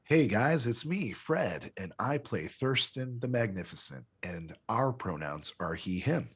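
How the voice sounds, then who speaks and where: A man's voice: mid-range voice, lively intonation, measured pace, husky. A man playing in a tabletop game livestream, chatting playfully and joking with the group. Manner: playful, jokey. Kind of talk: casual chat.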